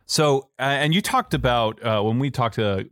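A man hosting a podcast interview, relaxed and chatty, often laughing and affirming his guest. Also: mid-range voice, normal speed. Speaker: podcast host. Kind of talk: casual interview chat.

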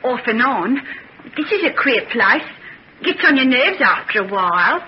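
A woman's voice: a little high, sing-song, moderate pace. An actress playing a wary, streetwise woman thief in an old-time radio serial, blunt, slangy and suspicious. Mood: uneasy. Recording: muffled, noisy old radio recording.

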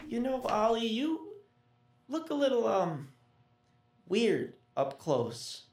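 A woman's voice: fairly high pitch, big pitch swings, slow, a little mellow, heavily acted. A woman playing a timid but eager twelve-year-old boy in an audio drama, speaking hesitantly with frequent stammers. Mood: uncertain, nervous. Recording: roomy, echoing sound.

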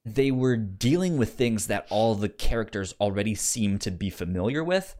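A man co-hosting a casual review discussion, halting and repeating words as he thinks out loud. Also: lowish voice, expressive. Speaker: podcast co-host reviewing comics.

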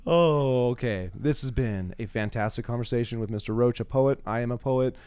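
A man's voice: mid-range voice, normal speed, a little mellow, clean tone, dry recording. A man hosting a podcast interview in a casual, conversational way, with a wry, playful and self-mocking manner.

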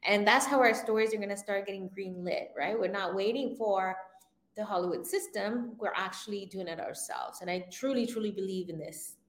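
A woman who grew up in Venezuela, a filmmaker guest in a casual interview, talking in an animated way and laughing often. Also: medium pitch, normal speed. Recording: roomy echoey sound.

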